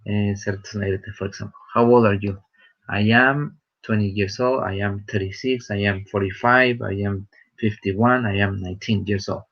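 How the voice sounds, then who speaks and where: Low-voiced man teaching English. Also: fairly even intonation, fairly bright tone. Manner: halting, repeats and rephrases, checks with "right?". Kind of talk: online lesson, explaining a task. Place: online session with screen sharing. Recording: dull lo-fi online session audio.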